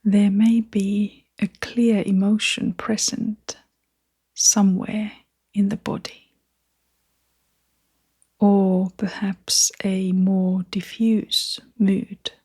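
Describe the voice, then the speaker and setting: A woman guiding a meditation in a soft, half-whispered voice, gentle and soothing, leaving long pauses between phrases. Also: medium pitch, little pitch movement, breathy edge. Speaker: meditation guide. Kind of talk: guided meditation narration.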